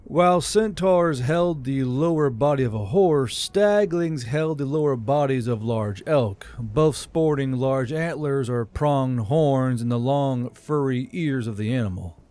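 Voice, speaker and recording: A man's voice: medium pitch, lively intonation, measured pace, hardly any pauses, a little mellow. Man narrating a fantasy story. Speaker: audiobook narrator.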